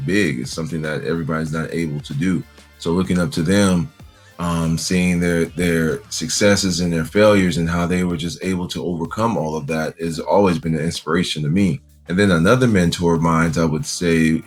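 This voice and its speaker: Very deep-voiced young man, easygoing. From Nigeria. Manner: easygoing, laughs often, many fillers. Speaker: interview guest. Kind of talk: relaxed conversational interview.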